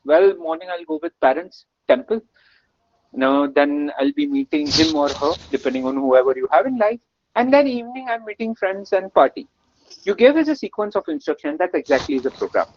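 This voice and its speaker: A man's voice: high-pitched, no room sound. A man from Gujarat with an Indian English accent, a webinar instructor who coaxes answers and heaps on praise. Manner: energetic, coaxing, lots of praise and repetition. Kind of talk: live online coding lesson. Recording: dull, muffled dry webinar audio.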